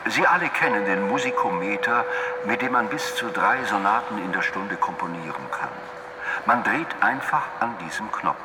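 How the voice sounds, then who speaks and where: An official state lecturer heard over a loudspeaker in a vast, reverberant hall. The voice is lowish, didactic and self-assured, declaiming to the citizens through loud noise in a radio play. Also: male voice, animated, normal speed. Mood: didactic, pompous. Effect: public-address loudspeaker in a large hall. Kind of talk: public announcement and lecture in radio drama.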